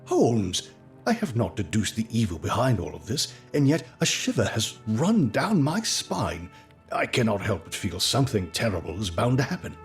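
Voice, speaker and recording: An actor playing an earnest, apprehensive, loyal gentleman companion and storyteller in an audio drama, with formal dramatic delivery. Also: fairly low pitch, wildly swooping pitch, normal speed, soft background music. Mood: uneasy. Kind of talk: audio drama acting and first-person narration.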